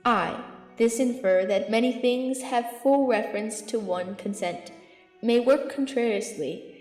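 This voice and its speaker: A woman's voice: a little high, unhurried, darkish tone, close to an everyday voice. Woman playing an eloquent archbishop, drenched in echo. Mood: measured, persuasive. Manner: declamatory, rhetorical. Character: eloquent, zealous archbishop counselling a king. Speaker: amateur actor in student audio drama. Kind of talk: Shakespearean verse acting. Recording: echoey hall reverb, music underneath.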